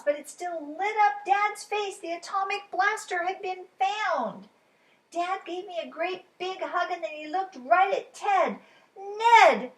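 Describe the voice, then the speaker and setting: Slow, expressive, high-voiced woman reading a story. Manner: expressive, dramatic pauses, drops to whispers. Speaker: storytime reader. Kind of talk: animated children's story narration.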